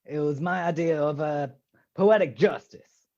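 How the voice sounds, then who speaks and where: An actor playing an urbane, mocking villain in a radio detective play, smug and drawling with elaborate politeness. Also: male voice, a little high, unhurried, darkish tone. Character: suave gloating male villain, urbane and mocking. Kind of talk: old-time radio detective acting. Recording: dull, lo-fi video call recording.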